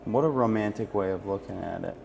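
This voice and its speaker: A man's voice: fairly low pitch, fairly quick, creaky, darkish tone, slight background noise. A man hosting a friendly conversational interview in an encouraging, affirming manner. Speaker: interviewer and host.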